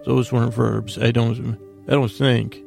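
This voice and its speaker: A man hosting a podcast with a meandering, halting bedtime monologue that keeps interrupting itself and wandering off. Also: medium pitch, little pitch movement, vocal fry, a little mellow, soft background music. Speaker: podcast host.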